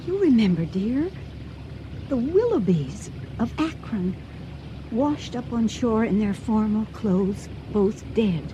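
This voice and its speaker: An actress playing an anxious, pointed older farmer's wife at sea in a radio drama, speaking earnestly. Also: female voice, fairly high pitch, animated, slow pace, background noise.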